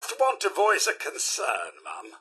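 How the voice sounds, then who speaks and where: An actor playing a prim, loyal robot butler in a video game, with a British accent and a formal, theatrical delivery. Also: male voice, fairly high pitch, huge pitch swings, unhurried, pushed and bright, exaggerated voice. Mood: polite. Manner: formal, over-polite, crisply enunciated, dramatic.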